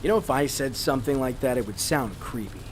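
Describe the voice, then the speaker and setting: A man's voice: mid-range voice, fast, slight background noise, lightly acted. A man playing a businesslike agent on a stakeout in an audio drama, speaking tersely. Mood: terse, practical. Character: gruff, practical male agent on stakeout. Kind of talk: scripted audio drama acting. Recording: faint vehicle ambience.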